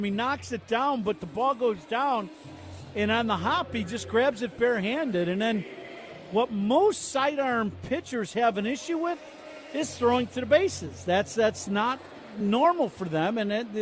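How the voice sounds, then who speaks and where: A man's voice: high voice, slight background noise. A man giving color commentary on a radio baseball broadcast, talking loosely and breaking down each play. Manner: conversational, explaining plays with restarts.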